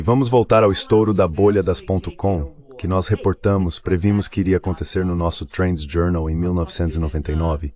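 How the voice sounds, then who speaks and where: Male commentator, Brazilian, very low register, blunt and repetitive in dubbed political talk. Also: a little mellow. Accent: Brazilian Portuguese. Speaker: guest commentator.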